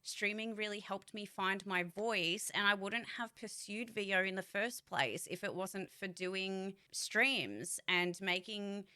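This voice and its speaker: A woman's voice: mid-range voice, unhurried, few pauses, sharp tone. A woman voice actor and streamer giving a casual vlog update, candid and rambling, swearing freely.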